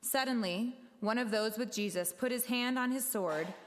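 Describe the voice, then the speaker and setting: A woman's voice: a little high, normal speed, slight background noise. A woman narrating a dramatic reading of scripture at a church service. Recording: long reverb of a large room.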